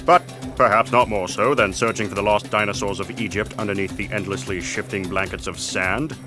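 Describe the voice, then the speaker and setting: A man's voice: lowish voice, steady tone, a little fast, over music, close to an everyday voice. An actor playing a droll, poetic male archaeologist in audio theatre, speaking in a formal, dryly teasing way.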